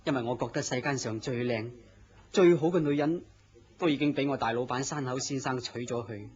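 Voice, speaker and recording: An actor playing a gentle, melancholy man in love, speaking earnestly and theatrically in Cantonese radio drama style. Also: medium pitch, brisk, breathy edge, natural voice. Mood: melancholy, lovestruck. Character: lovestruck, gentle, self-deprecating melancholy man. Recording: dull lo-fi audio with noise.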